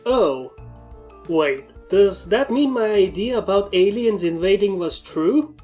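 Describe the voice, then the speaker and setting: A woman's voice: mid-range voice, expressive, slow, darkish tone. A curious young male dragon from a cartoon fan story, voiced by a woman. He talks casually and full of questions, easily startled. Music plays behind him. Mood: curious, surprised.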